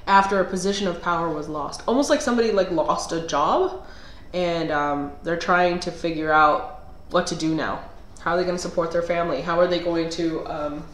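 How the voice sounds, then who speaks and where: A woman's voice: on the low side, echoey room. Slightly nasal woman reading tarot. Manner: thinks aloud with fillers and restarts. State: under the weather, clearing throat. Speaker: tarot reader. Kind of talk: casual tarot reading monologue.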